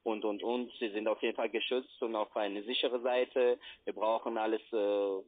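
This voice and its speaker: A man delivers a rambling phone sales pitch in phrasing. The line sounds thin and tinny. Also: level tone, normal speed, no room sound. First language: not German. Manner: wordy, rambling. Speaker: call center investment agent. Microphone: phone call.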